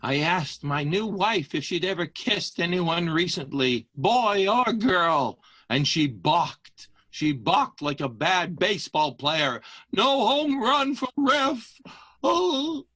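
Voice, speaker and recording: A man's voice: high-pitched, very animated, slow, bright, forceful tone, no echo, exaggerated voice. A man playing a wounded matinee idol husband in an improvised comic radio soap, plaintive and theatrical. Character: jilted young husband, vaudeville matinee idol.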